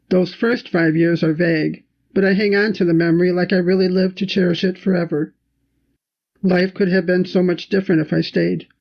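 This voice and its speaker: An older woman with a gravelly, low-set voice recites her life story in slow, measured phrases. Her pitch stays flat and even throughout. The sound is dull and lo-fi, as if taken from a home video.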